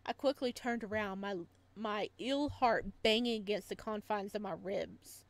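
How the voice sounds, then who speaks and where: A woman's voice: mid-range voice, moderate pace, dry recording. A woman playing through a visual novel, reading it aloud with dramatic narration, occasional stumbles and casual asides. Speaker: video game playthrough host.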